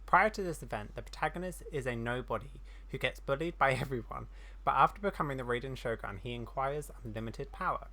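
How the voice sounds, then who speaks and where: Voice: mid-range voice, fairly quick, bright, forceful tone. A gaming streamer giving casual playthrough commentary and reading dialogue aloud, self-deprecating and stumbling over words.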